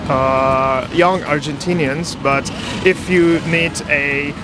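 A man interviewed on a city street, speaking in a measured way with pauses. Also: a little high, fairly even intonation, background noise.

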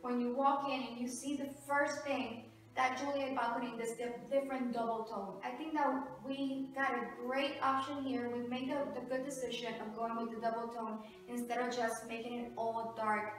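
High-voiced woman in a very echoey house. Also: little pitch movement, moderate pace. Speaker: home renovation vlogger. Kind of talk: casual home tour talk to camera. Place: large two-storey house hallway and stair landing. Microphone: camera mic a few metres away. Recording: echoey hallway, distant camera microphone.

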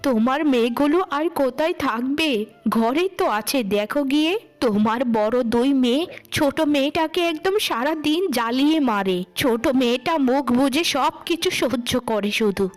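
A woman's voice: high-pitched, animated, moderate pace. A woman voicing a fed-up village mother of three daughters in a cartoon story, with a nagging manner. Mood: matter-of-fact.

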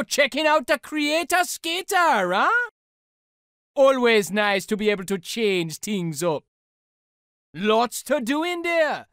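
An actor playing a cheerful, laid-back Rasta skate shop keeper in a video game, with a Jamaican accent and a friendly, jokey, singsong delivery. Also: male voice, very high-pitched, fairly bright tone, no echo, clean studio sound, full character voice. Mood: encouraging. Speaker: video game shopkeeper character. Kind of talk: video game character voice lines.

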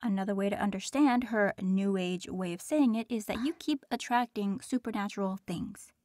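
A woman's voice: medium pitch, moderate pace, mellow, dry recording, natural voice. A woman playing a sardonic, cocky female demon with a dry, teasing manner in an audio roleplay. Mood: needling.

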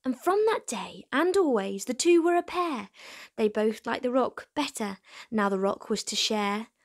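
High-voiced, very expressive woman storyteller. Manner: dramatic pauses, hushed emphasis. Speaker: storytime host and reader. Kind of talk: expressive children's storybook read-aloud.

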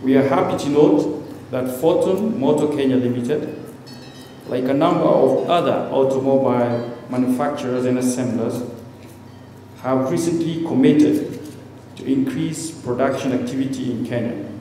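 A middle-aged man giving a formal scripted speech in measured phrases into a handheld microphone at an indoor event. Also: mid-range voice, dark tone. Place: indoor event hall, at a podium. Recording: very echoey hall, faint background noise.

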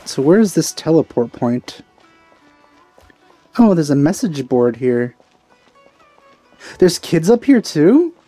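A man's voice: on the high side, very animated, takes it slowly, pauses often, dark tone, no room sound. A man giving casual commentary as he plays a video game. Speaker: let's player. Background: game music.

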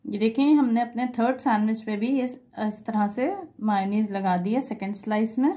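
A woman's voice: on the high side, steady tone, normal speed, warm tone. A woman presents a home recipe step by step, conversational and instructional, mixing in English words. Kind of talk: step-by-step cooking tutorial voice-over.

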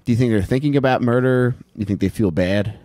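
A man's voice: mid-range voice, fast, dark tone, dry recording. An American man co-hosting a casual comedy podcast, swearing and joking crudely into a close handheld mic. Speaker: podcast co-host. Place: small wood-panelled room, indoors. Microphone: handheld microphone held close.

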